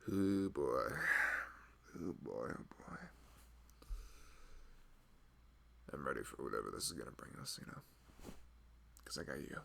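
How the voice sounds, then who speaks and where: Give this one a very low male voice with a gravelly fry , a man acting the part. He is a devoted but nervous young husband in an intimate ASMR roleplay. He rambles softly, like someone talking privately to his partner. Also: very animated, normal speed, a little hiss or hum, heavily acted. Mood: amused, then tender, hopeful. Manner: rambling, soft-spoken, chuckling, often drifting toward a murmur.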